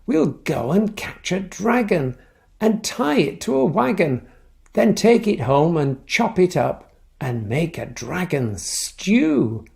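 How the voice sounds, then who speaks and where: A man's voice: high voice, very animated, slow pace, gravelly, a little mellow, heavily acted. A man voicing an eager, cheeky little Viking with bold plans in a children's storybook. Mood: scheming, eager.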